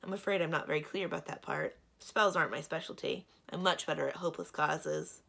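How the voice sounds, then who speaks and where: A woman's voice: low-pitched, a little fast, creaky, sharp tone, heavily acted. A woman voicing a courteous, apologetic young prince in a storybook reading. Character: polite, apologetic young male stone prince.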